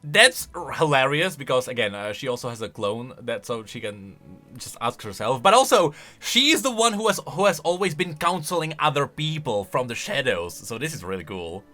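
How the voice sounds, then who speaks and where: A man giving casual, emphatic commentary as a gaming YouTuber, excitable in his delivery. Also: medium pitch, moderate pace, hardly any pauses, soft background music. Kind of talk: casual lore commentary, reacting to voice lines.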